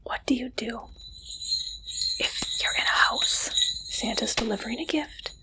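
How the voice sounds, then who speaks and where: A woman whispering in an ASMR roleplay as an interviewer, with a playful, teasing manner and soft questioning. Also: on the high side, measured pace, rough recording.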